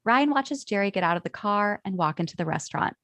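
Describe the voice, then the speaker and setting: A woman's voice: medium pitch, lively intonation, fast, fairly bright tone, dry recording. A woman reading scripted stage directions as narrator at a table read.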